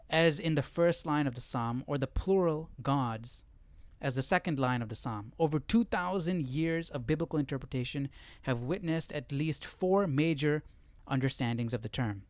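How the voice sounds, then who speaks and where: A man's voice: on the high side, no echo. Male livestream host, casual Bible study, clean resonant voice, very dry sound. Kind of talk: casual livestream talk and reading aloud.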